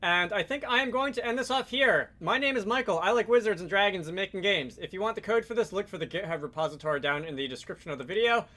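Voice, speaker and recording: A man's voice: high-pitched, quick pace, few pauses, sharp tone. A man hosting a casual game development tutorial, explaining off the cuff, hesitating and correcting himself as he thinks aloud. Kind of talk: casual screen-recorded software tutorial, improvised explanation.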